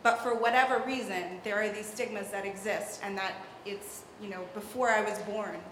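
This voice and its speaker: A woman's voice: mid-range voice, fairly even intonation, normal speed, a bit bright. A young adult woman in the audience, rambling and hedging as she makes a long comment. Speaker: audience member. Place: large hall at a public event. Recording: echoey hall, faint room noise.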